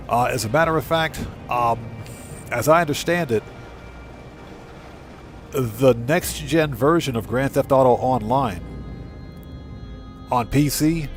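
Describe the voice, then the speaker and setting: A man doing casual live game commentary, talking informally to his viewers and repeating phrases for emphasis. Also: mid-range voice. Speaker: live streamer and channel host. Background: music.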